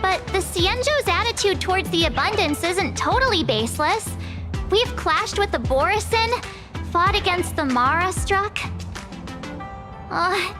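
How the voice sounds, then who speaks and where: A woman voicing a perky, chatty teenage girl adventurer in an anime-style video game, expressive, casual and teasing. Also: very high voice, a little slow. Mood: earnest, wary. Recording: background music under the voice.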